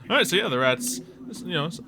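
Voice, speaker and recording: A man narrating a tabletop roleplay as game master in a halting, conversational way. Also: high voice, big pitch swings, creaky, noisy background.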